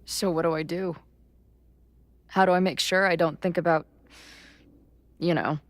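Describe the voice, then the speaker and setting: Woman acting weary, wry low-voiced young woman. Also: steady tone, measured pace, dry recording, close to an everyday voice. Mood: troubled, resigned. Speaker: video game voice actor. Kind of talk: naturalistic dramatic game dialogue.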